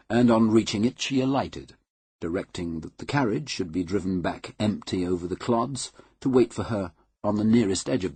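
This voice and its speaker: Husky-voiced man reading a classic novel. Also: sits low, darkish tone, no echo. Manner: measured, unhurried, formal. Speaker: audiobook reader. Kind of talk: scripted literary narration.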